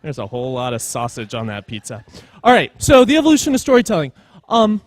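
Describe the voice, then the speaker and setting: A man in his thirties speaking at a conference in a conversational way, pausing deliberately for emphasis. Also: a little high, huge pitch swings. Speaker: conference speaker, storytelling professional. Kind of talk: informal conference talk.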